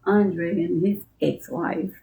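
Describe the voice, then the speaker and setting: Gravelly-voiced Texan woman in her seventies. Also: on the low side, fairly even intonation, slow, warm tone, a little hiss or hum. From Texas. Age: 70s. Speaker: interview guest. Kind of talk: casual conversation at home. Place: living room of her home.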